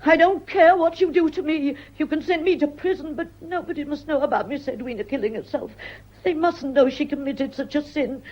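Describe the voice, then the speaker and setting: An actress playing a bitter, fiercely loyal housekeeper in a radio mystery, stiff and defensive. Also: female voice, sits high, a little fast, dull audio, close to an everyday voice. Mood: bitter, distraught. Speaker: actor in a radio play. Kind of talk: radio mystery drama acting.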